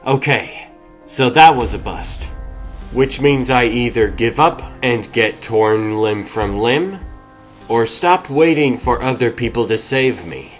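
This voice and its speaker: A man plays a washed-up middle-aged superhero from a comic dub. He grumbles to himself with sardonic, self-deprecating wit at an unhurried pace, with music behind. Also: mid-range voice, expressive. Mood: strained, wry. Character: wry, self-deprecating washed-up male superhero with heart trouble.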